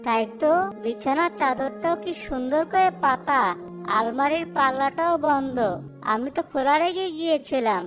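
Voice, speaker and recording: Man as a high-voiced, uneasy cartoon husband. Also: moderate pace, music in the background. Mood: worried. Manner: hesitant, worried. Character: uneasy, cautious young husband. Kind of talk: cartoon voice acting.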